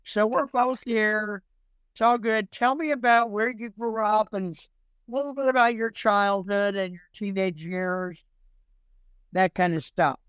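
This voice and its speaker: A man's voice: sits high, fairly even intonation, unhurried, fairly bright tone, clean tone, no echo. A man hosting an informal interview, jokey and rambling, with a habit of repeating himself. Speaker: show host interviewing a guest.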